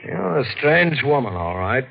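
A man's voice: medium pitch, very animated, measured pace, vocal fry. A man playing a skeptical, weary police detective in a 1940s radio drama, firm and exasperated. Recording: dull vintage radio recording.

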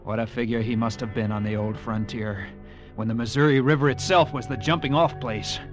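An actor playing an earnest, dreamy young cavalry officer in a 1950s radio drama. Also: male voice, mid-range voice, steady tone, fairly quick, natural voice. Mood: brooding, reverent. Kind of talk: 1950s radio drama acting with narrated inner monologue. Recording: old radio broadcast with music behind.

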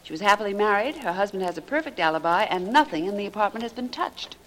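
An actress playing a wisecracking, breezy American secretary to a detective, in the manner of 1940s radio drama. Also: female voice, medium pitch, animated, fast, a bit bright, slight background noise, lightly acted, low-quality recording. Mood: brisk, informative. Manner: breezy, grumbling humor.

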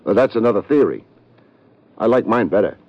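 Wisecracking hard-boiled detective, low, lively, dry wit, 1940s radio play. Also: male voice, brisk, dry recording. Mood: wry. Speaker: narrator. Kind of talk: 1940s radio drama acting. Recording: muffled old radio recording.

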